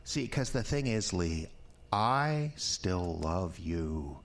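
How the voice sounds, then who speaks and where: An actor playing an unstable, clingy, self-pitying ex-boyfriend in an audio drama, halting and stammering as he wheedles. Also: low voice, big pitch swings, heavily acted. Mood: drunk, maudlin.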